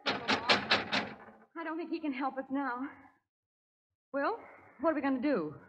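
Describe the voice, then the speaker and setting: A woman's voice: sits high, takes it slowly, very noisy. An actress playing a brave, spirited lady companion with an American accent, acted dramatically in 1930s radio style. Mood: uneasy, wry. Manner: plucky, dramatic. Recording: muffled old radio recording with hall reverb.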